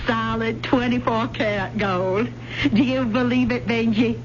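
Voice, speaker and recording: An actress playing a proud, quick-witted country fiddler woman with a folksy Southern American drawl in an old-time radio drama. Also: on the high side, fairly even intonation, lightly acted. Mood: playful, sassy. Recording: muffled, noisy old radio recording.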